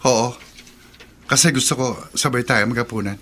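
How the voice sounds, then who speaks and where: Man acting bright-voiced, chatty fisherman chef. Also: mid-range voice, fairly even intonation, normal speed, a little hiss or hum, close to an everyday voice. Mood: friendly, curious. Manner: teasing, chatty. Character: friendly, flirty, joking fisherman chef. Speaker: radio drama actor. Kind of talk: radio drama dialogue.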